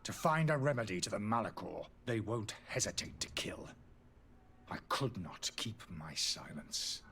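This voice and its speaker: An actor playing a disgraced, indignant alchemist in a fantasy video game, pleading and justifying himself theatrically in a slightly husky voice. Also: male voice, fairly low pitch, sing-song, unhurried, a bit bright, full character voice. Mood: bitter, indignant. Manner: agitated, defensive, theatrical delivery.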